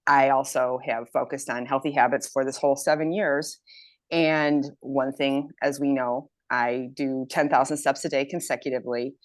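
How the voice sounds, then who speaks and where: Woman, 50s, low voice, dry close sound; casual, eager testimonial. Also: level tone, moderate pace, dry recording. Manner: enthusiastic, reads quotes aloud. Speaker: health program member. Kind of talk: casual group chat testimonial.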